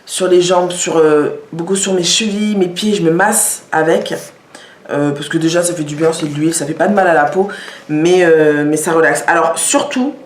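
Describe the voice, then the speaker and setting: A woman showing products in a casual vlog, talking with many hesitations and fillers. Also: on the low side. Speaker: vlogger. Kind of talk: casual product show-and-tell. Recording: echoey room.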